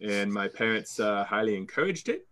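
Confident Canadian man, lowish voice. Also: very animated, a little fast, bright, forceful tone. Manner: confident, fluent storytelling with occasional restarts. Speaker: sales coach guest. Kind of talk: interview answers.

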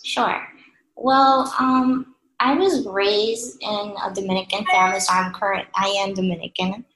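A woman's voice: medium pitch, steady tone, a little slow, a bit bright. A young woman from New York City, a guest artist answering interview questions casually, hesitant and full of fillers. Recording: echoey room with faint noise.

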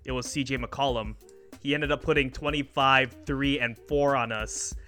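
Bright-voiced man hosting a casual podcast. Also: medium pitch, fairly even intonation, normal speed, soft background music. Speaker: podcast host asking questions. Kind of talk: casual chat.

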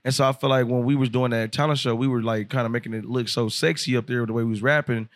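Dry-voiced man in his twenties, animated storyteller. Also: mid-range voice, fast talker, no echo. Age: 20s. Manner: animated, loose and profane, laughs often. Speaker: rapper guest. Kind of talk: casual podcast storytelling. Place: podcast studio.

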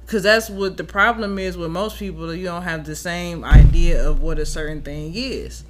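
A woman's voice: on the low side, steady tone, moderate pace, loud music behind the voice. An American woman co-hosting a podcast, chatting casually in a blunt, informal, profane way. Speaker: podcast co-host. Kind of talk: casual chat.

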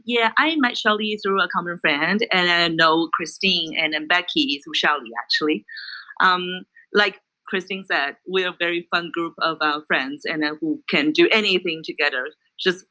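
A woman's voice: lowish voice, sharp tone, poor audio. A woman from China casually sharing personal stories as a guest in a group conversation.

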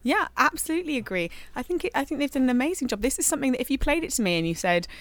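A woman's voice: high-pitched, big pitch swings, rapid-fire, a bit bright, no echo. A woman co-hosting a casual podcast, gushing enthusiastically as she reviews song contest entries. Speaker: podcast co-host.

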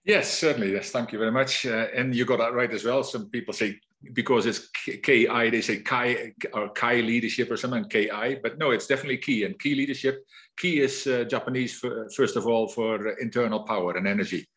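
A man's voice: mid-range voice, steady tone, a little fast, a bit bright. A middle-aged man from the Netherlands with a Dutch accent, talking reflectively as an interview guest, often restarting his sentences. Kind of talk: conversational interview.

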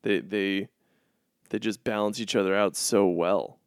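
Young male podcast co-host, gravelly voice, dry close mic, halting casual delivery. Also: fairly low pitch, steady tone, dry recording. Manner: halting, restarts often, laughs easily. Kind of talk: casual chat.

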